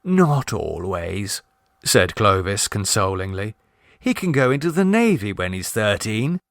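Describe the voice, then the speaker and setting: A man playing a languid, mischievous young gentleman who speaks in a dry, unhurried, mock-consoling way. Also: lowish voice, very animated, no room sound, pitched below the performer's own voice. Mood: drily amused.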